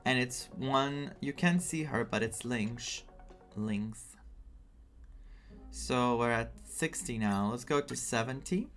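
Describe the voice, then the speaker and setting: A young man with a Greek accent streaming a game, chatting excitedly, laughing often and reading quest text aloud. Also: medium pitch, takes it slowly, over music.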